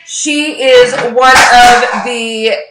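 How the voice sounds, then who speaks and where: A woman's voice: on the high side, fairly even intonation, very slow, reverberant, very noisy. A woman hosting a live show in an enthusiastic, informal and emphatic way, gushing as she talks. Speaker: host.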